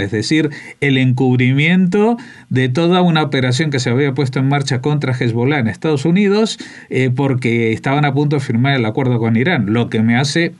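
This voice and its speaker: A man's voice: mid-range voice, a little mellow. A man with an Argentine accent hosting a radio interview, talking in a conversational way with some hesitation. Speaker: radio host.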